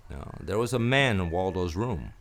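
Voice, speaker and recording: Casting: hard-boiled radio private eye, played by a man. Low voice, very varied intonation, cynical and terse. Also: big pitch swings, normal speed. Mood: probing, wry. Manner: world-weary, laconic. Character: hard-boiled male private detective, cynical, protective of a woman. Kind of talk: radio play acting with first-person noir narration.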